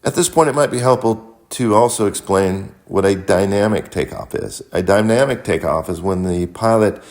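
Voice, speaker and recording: Male narrator, low-pitched, delivering careful step-by-step instructional voice-over as an experienced pilot. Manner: measured, methodical, instructional. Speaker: pilot and flight mentor, video host. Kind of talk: scripted explanatory narration.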